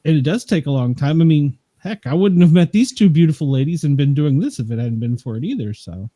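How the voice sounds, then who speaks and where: A chatty man in his fifties talks loosely, rambling and chuckling at his own remarks. His voice sits a touch high for a man yet sounds mellow and relaxed. The recording is dry and close. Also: fairly quick, no room sound. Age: 50s. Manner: rambling, chatty, laughs at himself. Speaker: podcast co-host. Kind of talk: casual chat.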